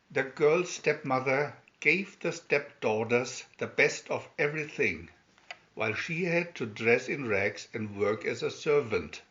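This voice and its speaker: A man narrating a fairy tale aloud as scripted storybook reading, with deliberate, expressive phrasing. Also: medium pitch. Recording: slightly dull, lo-fi sound.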